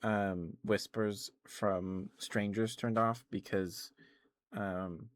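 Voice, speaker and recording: Male ASMR streamer. Deep-pitched and slow, casual, gently rambling, halting delivery. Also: fairly even intonation, no echo. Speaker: live streamer, ASMR host.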